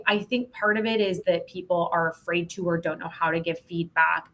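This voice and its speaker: A woman speaking candidly and emphatically as an interview guest, in an expressive conversational way. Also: lowish voice. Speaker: podcast guest, sales leadership expert.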